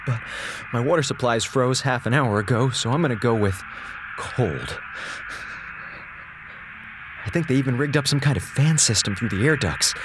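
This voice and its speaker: A man's voice: mid-range voice, noisy background, natural voice. An actor playing a sarcastic, self-pitying male comms officer stranded in space, talking to himself in a running monologue. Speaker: communications officer. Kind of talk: audio drama acting.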